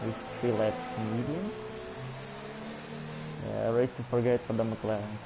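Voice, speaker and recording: A man's voice: on the low side, fairly even intonation, measured pace, gravelly, over loud music, rough recording. A man from Portugal with a Portuguese accent, casually commentating a live online race and chatting with viewers. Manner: non-native English, loose and chatty, talks to viewers. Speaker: sim racing commentator. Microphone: home streaming mic.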